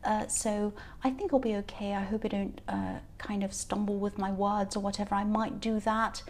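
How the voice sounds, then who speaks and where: A woman with a tired, croaky voice, giving a casual tarot reading in a rambling, hesitant way, reassuring and earnest, with many fillers and restarts. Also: medium pitch, level tone, normal speed, darkish tone. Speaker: tarot reader.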